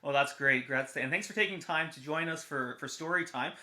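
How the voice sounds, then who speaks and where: A man with a slightly high voice hosts in an informal, polite way. He feels his way through sentences with some hesitation. The sound is roomy and echoing. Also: brisk, bright, forceful tone. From Canada. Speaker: host, member of parliament. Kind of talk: informal welcome and interview. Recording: echoey, reverberant room sound.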